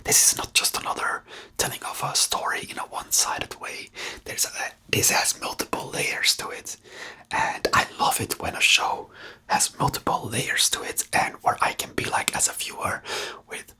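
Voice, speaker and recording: A person speaking in a breathy whisper, giving unscripted ASMR commentary in a hesitant, rambling way with frequent restarts. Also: normal speed, bright, forceful tone. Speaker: ASMR host reviewing a TV series.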